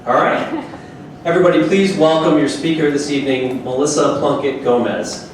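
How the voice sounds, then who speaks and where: A man giving a brisk, friendly on-stage introduction of his company and the evening's speaker. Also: fairly high pitch. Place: large open office event space with audience. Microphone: handheld mic heard from a distant camera. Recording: echoey room from far off with noise.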